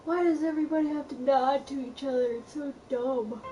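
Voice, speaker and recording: A woman's voice: sits high, steady tone, a little fast. A woman giving casual, blunt, offhand commentary as she streams a game. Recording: loud game music, roomy echo.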